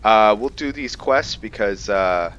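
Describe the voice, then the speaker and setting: A man's voice: on the low side, expressive, unhurried, a bit bright, clear, resonant tone, highs cut off. A man hosting a gaming video, giving casual commentary and role-play remarks as he plays.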